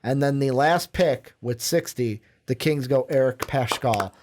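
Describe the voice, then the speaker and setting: A man's voice: medium pitch, measured pace, background noise. A man co-hosting a sports podcast, reading draft picks casually with fillers and self-corrections. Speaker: podcast co-host. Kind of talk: reading a mock draft list.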